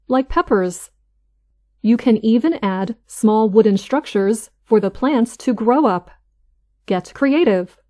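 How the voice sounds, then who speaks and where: A woman's voice: fairly high pitch, darkish tone. A woman reading a news article in a careful, evenly paced way for English learners. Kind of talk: slow, clear scripted reading.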